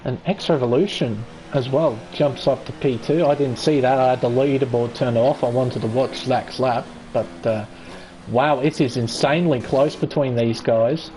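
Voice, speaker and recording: A man's voice: medium pitch, steady tone, moderate pace, warm tone, slight background noise, low-quality recording. An Australian man giving chatty, enthusiastic live play-by-play commentary on an online racing league qualifying session. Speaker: esports race commentator.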